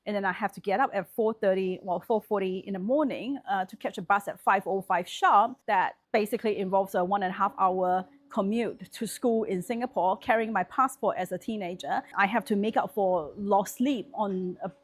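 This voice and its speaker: A woman's voice: medium pitch, moderate pace, few pauses. A woman in her forties, born in Malaysia with Chinese as her first language, talking frankly and casually to camera as a property and wealth vlogger. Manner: frank and conversational, thinking aloud.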